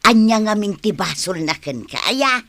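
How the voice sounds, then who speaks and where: A woman's voice: medium pitch, animated, a little slow, a bit bright, no room sound. A woman playing a hospitable, teasing village neighbour in a radio comedy drama, with an Ilocano accent.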